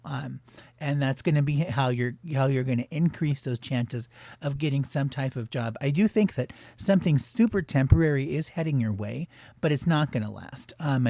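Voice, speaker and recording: A man hosting a live call-in astrology show, speaking softly with many ums and you-knows. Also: mid-range voice, dry recording. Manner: soft-spoken, filler-heavy. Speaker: host.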